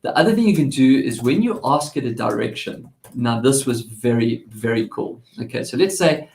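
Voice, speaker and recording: A man's voice: mid-range voice, expressive, normal speed, creaky, darkish tone, reverberant. A man with a South African accent, hosting a casual tech segment and chatting in a rambling way with lots of restarts. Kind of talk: casual chat.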